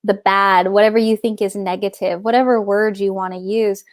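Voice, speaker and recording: A woman's voice: mid-range voice, fairly even intonation, normal speed, no room sound, studio quality. Rambling woman talking about soul work. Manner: rambling, searching for words with many restarts. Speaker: guest author. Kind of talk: casual spiritual conversation.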